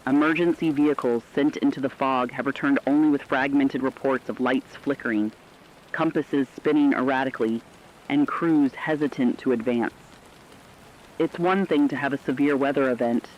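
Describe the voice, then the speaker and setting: A man's voice: medium pitch, moderate pace, natural voice. A man playing a solemn, formal news anchor giving emphatic warnings in a scripted emergency radio drama. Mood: solemn, urgent. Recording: dull lo-fi radio sound, rainy noise.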